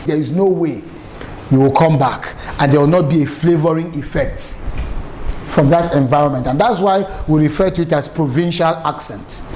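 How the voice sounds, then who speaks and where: A man's voice: on the high side, normal speed, darkish tone, a little hiss or hum. A middle-aged Nigerian man from Lagos, giving an emphatic, story-filled lesson on public speaking. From Nigeria, raised in Lagos. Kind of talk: lively spoken lecture with anecdotes.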